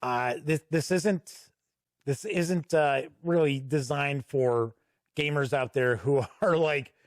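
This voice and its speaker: A man giving a casual product walkthrough as a reviewer, conversational and halting with frequent pauses. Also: on the high side, dry recording, studio quality. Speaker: tabletop game reviewer and host.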